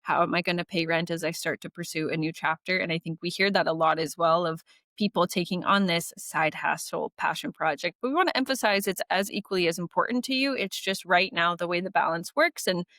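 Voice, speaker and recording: Lively Canadian woman co-hosting a podcast. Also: on the low side, brisk, no room sound, clean studio sound. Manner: warm, laughing, lively retelling with acted quotes. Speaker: podcast co-host. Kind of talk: casual conversational interview. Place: remote call from abroad.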